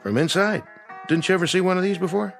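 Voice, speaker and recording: An actor playing a kindly, practical diner cook and husband in a radio drama, earnest and plain-spoken. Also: male voice, sits high, quick pace, creaky, faint music behind. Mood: matter-of-fact.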